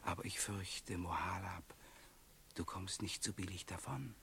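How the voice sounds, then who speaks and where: An actor playing a calm, enigmatic wandering companion in a German radio play, speaking in a measured, cryptic, hushed way. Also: male voice, sits low, vocal fry, a little hiss or hum, exaggerated voice. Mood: gentle, cryptic.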